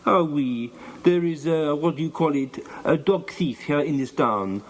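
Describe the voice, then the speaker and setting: A man voicing a gentle French boy in a children's storybook, with a French accent, searching for English words. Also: fairly high pitch, unhurried, close to an everyday voice. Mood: alarmed. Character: skinny, gentle French boy, fond of animals. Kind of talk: children's storybook character reading.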